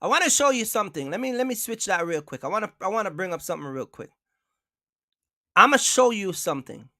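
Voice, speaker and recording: A man's voice: high-pitched, big pitch swings, quick pace, sharp tone, dry recording, clean studio sound. A man hosting a trucking livestream, talking casually and emphatically, repeating himself and asking viewers for likes. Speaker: trucking channel host.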